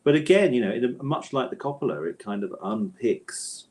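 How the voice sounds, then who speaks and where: A man's voice: mid-range voice, lively intonation, normal speed, a little mellow. A man answering interview questions conversationally as a guest author, with a thoughtful, hesitant manner and bookish phrasing.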